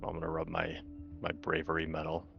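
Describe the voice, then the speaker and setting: A man with a gravelly voice bantering as a player in a tabletop roleplaying game. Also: very deep voice, monotone, a little fast, highs cut off, soft background music. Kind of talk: casual in-character game banter.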